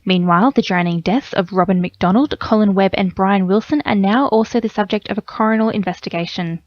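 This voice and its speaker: A woman's voice: medium pitch, brisk, no echo. Australian woman reading radio news, slightly dull sound. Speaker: newsreader. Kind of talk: scripted news bulletin reading. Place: dry radio studio.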